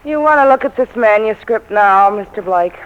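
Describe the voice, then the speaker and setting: A woman's voice: medium pitch, lively intonation, moderate pace, loud music behind the voice, natural voice. An actress playing an earnest, eager woman employee of a publishing house in a 1940s radio comedy. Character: earnest, enthusiastic female publishing-house employee pitching manuscripts. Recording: dull, lo-fi 1940s radio recording.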